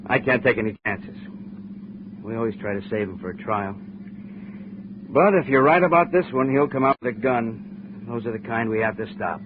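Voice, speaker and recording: A man playing a jaded, hardened homicide lieutenant in a 1950s radio drama, weary and gruff in manner. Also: mid-range voice, expressive, moderate pace, pauses often, lightly acted. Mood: firm, resigned. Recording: noisy, tinny old radio recording.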